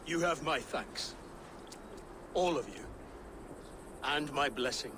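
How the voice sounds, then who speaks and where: A grave middle-aged king in a game cutscene. His voice is mid-pitched and his words are formal and measured, with clear outdoor noise and insects behind. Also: expressive. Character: solemn new king, a concerned father. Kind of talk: video game cutscene acting.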